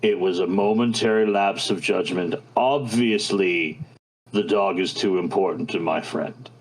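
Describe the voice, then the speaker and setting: A man's voice: low-pitched, unhurried, rough recording. A man chatting casually and role-playing as a player in a tabletop game.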